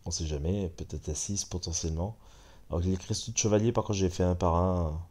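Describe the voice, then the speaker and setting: A man's voice: deep voice, brisk. A man with a French accent and a stuffy head cold, chatting casually as he comments on a mobile game. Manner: relaxed, chatty, many fillers. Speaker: gaming channel host.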